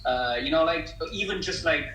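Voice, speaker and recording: A man's voice: fairly high pitch, normal speed, bright, forceful tone, reverberant, low-quality recording. A man born in China and raised in the UK, an agency founder chatting as a panel guest in a friendly way with many fillers. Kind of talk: casual panel chat.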